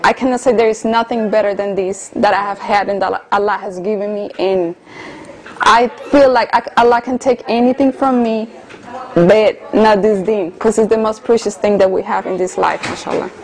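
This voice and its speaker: A woman of around thirty from Colombia, speaking English, earnestly telling her personal story as an interviewee with soft hesitations. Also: medium pitch, steady tone, moderate pace, faint music behind. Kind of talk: personal testimony in conversation.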